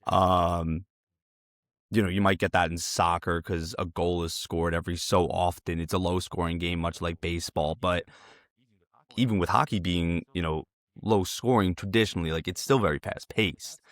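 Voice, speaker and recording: A man's voice: low voice, fairly even intonation, moderate pace, a bit bright, dry recording. An American man hosting a conversational interview, asking wordy questions that he rephrases as he goes. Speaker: interviewer, host.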